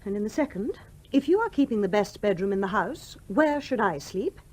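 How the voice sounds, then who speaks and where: A woman's voice: mid-range voice, lively intonation, fairly quick, a little mellow, lightly acted. An actress in a radio play playing a genteel, scrupulous mother who is polite but flustered and argumentative. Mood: protesting.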